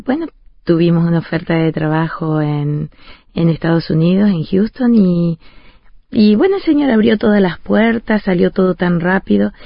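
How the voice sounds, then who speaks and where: Soft-spoken Uruguayan woman with a husky voice. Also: on the low side, no echo. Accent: Uruguayan Spanish. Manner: soft-spoken, hesitant, with many restarts. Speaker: interview guest. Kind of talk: radio interview. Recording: muffled, dry sound with faint music.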